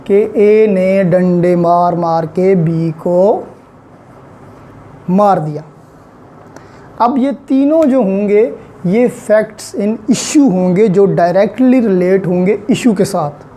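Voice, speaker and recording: A woman with a Pakistani accent delivers a methodical, instructive lecture. Her voice sits at a medium pitch with a mellow, relaxed tone. She takes her time, switching into English for technical terms as she explains each point. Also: drawn-out words, long pauses, slight background noise. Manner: code-switches between Urdu and English legal terms; didactic, step-by-step explaining. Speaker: law lecturer.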